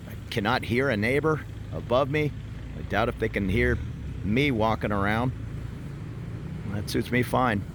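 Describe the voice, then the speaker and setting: Man, casual street vlog, rambling chatter, mid-pitched voice, loud traffic behind. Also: measured pace, long pauses. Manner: rambling, chatty, many fillers. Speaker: expat vlogger. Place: outdoors on a city street.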